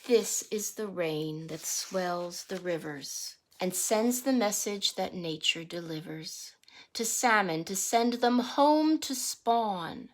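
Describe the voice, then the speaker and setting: Slow-paced woman reading aloud to children. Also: medium pitch, animated, slight background noise. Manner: deliberate, explanatory, pausing to question young listeners and define words. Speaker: teacher reading a picture book aloud to children. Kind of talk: read-aloud of a rhyming picture book with teaching asides and questions.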